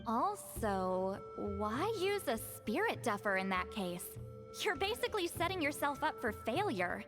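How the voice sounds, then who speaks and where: A woman's voice: on the high side, sing-song, a little slow. A woman voicing a shrewd, no-nonsense grandmother clairvoyant in a video game, brusque, wry and decisive. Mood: focused. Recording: music behind the voice. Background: game music.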